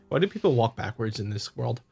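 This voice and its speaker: A man's voice: mid-range voice, very animated, moderate pace, creaky, darkish tone, husky, faint music behind. A man hosting a visual novel playthrough, chatting casually and reading aloud, joking and stumbling over the text. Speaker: host, gamer.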